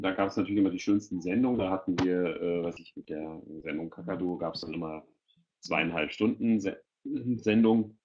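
A middle-aged man with a deep, low-set voice talks casually and spontaneously as an online panellist. His headset audio is a bit muffled and lo-fi. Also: vocal fry, a little mellow, a little hiss or hum. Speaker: expert panellist. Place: indoor room, video call. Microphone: headset on video call. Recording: dull, lo-fi video-call audio.

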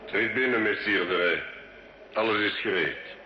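A male actor playing a cunning, scornful sorcerer and alchemist, solemn, measured and theatrical, in an old radio drama. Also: on the low side, close to an everyday voice. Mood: deferential. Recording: dull, echoey, hissy old radio recording.

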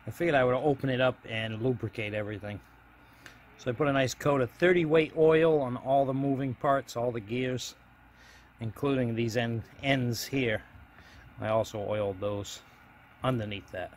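A man with a mid-pitched voice narrates his own hands-on project in a casual, plain-spoken way. He explains each step simply, as if filming on a handheld camera. There is faint noise behind his voice. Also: moderate pace. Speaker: DIY hobbyist video host.

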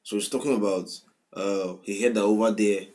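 Young Nigerian guy reacting on webcam, lowish voice, gets loud then mumbles. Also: normal speed. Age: 20s. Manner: emotional, animated, swings from loud outbursts to quiet mumbling. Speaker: music reaction host. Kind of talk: casual reaction commentary. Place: small room indoors. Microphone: webcam at desk, wearing headphones.

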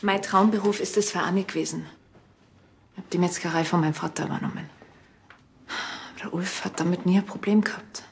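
Low-voiced Bavarian butcher widow. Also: female voice, fairly even intonation, fairly quick, gravelly, pauses often, a little hiss or hum. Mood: matter-of-fact. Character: defensive widowed village butcher woman, earthy and blunt. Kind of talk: naturalistic TV drama acting.

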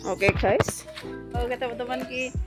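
A woman's voice: on the high side, fairly even intonation, slow pace. A woman in her thirties with a Javanese accent, chatting to viewers while walking through a village with a phone in hand. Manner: chatty, addresses viewers as guys often. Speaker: vlogger. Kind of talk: casual walking vlog. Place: outdoors in a village lane. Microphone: handheld phone at arm's length. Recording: background music.

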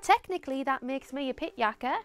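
A woman's voice: high voice, lively intonation, brisk, soft background music. A woman from County Durham with a Geordie accent, demonstrating words and short phrases. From County Durham, England.

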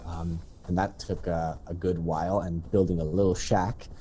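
A man's voice: low voice, flat delivery, moderate pace, warm tone, faint music behind. A young man hosting a co-op gameplay video, chatting playfully and giggling with his friend. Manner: playful, giggly, squeals for fun. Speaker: gaming channel host. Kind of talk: casual co-op gameplay commentary.

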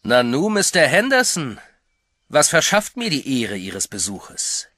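A sinister, mocking hermit. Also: male voice, mid-range voice, huge pitch swings, unhurried, bright, forceful tone, heavily acted. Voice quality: silky, mocking politeness. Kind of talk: radio drama acting.

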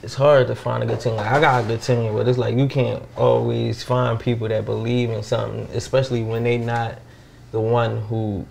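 A man's voice: medium pitch, level tone, normal speed, darkish tone. A man, chatting casually in slangy, informal speech as a podcast host. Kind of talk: casual chat interview. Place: home kitchen, indoors. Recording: clear sizzling kitchen noise behind. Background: frying and sizzling food.

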